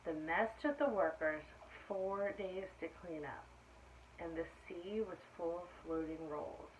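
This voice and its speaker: Woman doing kids storytime, expressive read-aloud with little asides, kinda muffled audio, bit of background noise. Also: fairly low pitch, a little slow. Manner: expressive, asks listeners questions. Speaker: storytime reader. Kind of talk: read-aloud of a children's picture book with asides to listeners. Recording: muffled lo-fi sound with faint noise.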